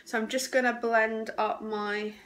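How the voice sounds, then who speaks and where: A woman's voice: a little high, level tone, a little slow. A young woman with a sore throat and congested nose, chatting casually to a phone camera at home, rambling with plenty of ums. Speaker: vlogger, mother. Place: small house, sofa, kitchen and garden. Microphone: phone camera at arm's length. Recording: noisy home phone recording.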